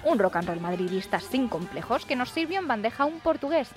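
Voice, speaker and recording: Spanish woman storyteller over loud music. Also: fairly high pitch, big pitch swings, brisk. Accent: Spanish, from Spain. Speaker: presenter of a sports audio story. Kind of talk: scripted sports storytelling.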